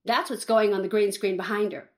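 A woman's voice: medium pitch, fairly even intonation, lightly acted. A woman playing a callous, cynical reality-show producer with a sardonic manner in a campy comedic audio drama. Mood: breezy, callous. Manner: sardonic, brisk, shady.

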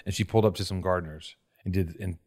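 A man telling a story in casual podcast chat, lively and crude, with quick jokes. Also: low voice. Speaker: podcast co-host.

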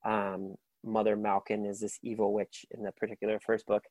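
A man's voice: on the low side, completely flat delivery, moderate pace, darkish tone, no room sound. A man hosting a book podcast alone, talking casually and enthusiastically, with many fillers and restarts. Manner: rambling, filler-heavy, enthusiastic. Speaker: podcast host. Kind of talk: casual solo book recommendations.

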